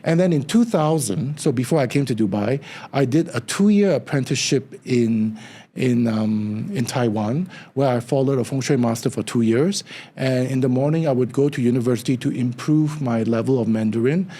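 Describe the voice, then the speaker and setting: Man, 50s, podcast guest: mellow, slightly husky, animated storyteller. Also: medium pitch, moderate pace. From Singapore. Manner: animated storyteller, acts out quoted remarks with a lifted voice. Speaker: feng shui consultant, guest. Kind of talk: casual interview storytelling.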